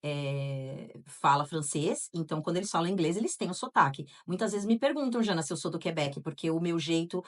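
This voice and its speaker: A woman's voice: deep voice, lively intonation, a little fast, few pauses. A Brazilian woman co-hosting a casual podcast, chatting and reading out listener answers, with frequent tag questions. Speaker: podcast co-host.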